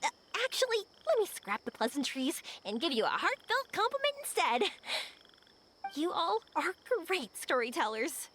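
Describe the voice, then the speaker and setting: A woman playing a cheerful, warm-hearted young woman in an anime-style game, playful and expressive. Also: high voice, normal speed, a little hiss or hum, heavily acted. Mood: upbeat, playful.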